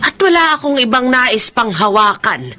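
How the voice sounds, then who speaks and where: A woman's voice: on the high side, sing-song, moderate pace, bright, forceful tone, a little hiss or hum. A woman playing a devoted, protective older mother in a radio drama. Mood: gentle, pleading. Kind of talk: Tagalog radio drama acting.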